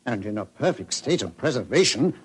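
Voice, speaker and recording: An actor playing a hesitant but enthusiastic antiquarian explorer in an old-time radio drama. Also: male voice, mid-range voice, very animated, a little fast, breathy edge, low-quality recording. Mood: excited. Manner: hesitant, enthusiastic, repeats words. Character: eager, fussy English antiquarian cave explorer. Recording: old radio recording, faint noise.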